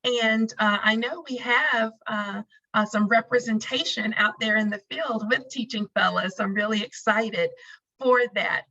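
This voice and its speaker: Bright-voiced woman moderating a video call. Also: on the high side, a little slow. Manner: friendly, chatty, many fillers. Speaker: panel moderator. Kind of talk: hosting a video-call panel. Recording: dull lo-fi call audio.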